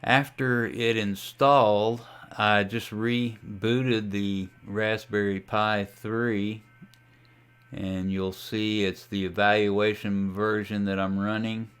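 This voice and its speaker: A man giving a casual, folksy tutorial as a ham radio hobbyist, talking through a software demo in an unhurried way. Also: fairly low pitch, level tone, clean tone. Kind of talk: casual screen-share tutorial.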